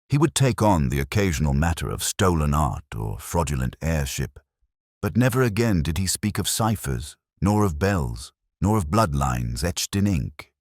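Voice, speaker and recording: A very low-voiced man narrates a detective tale. His delivery is measured and solemn, yet the pitch moves expressively through each phrase. The recording is close and dry. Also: a little mellow, dry recording. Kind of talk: scripted audiobook narration.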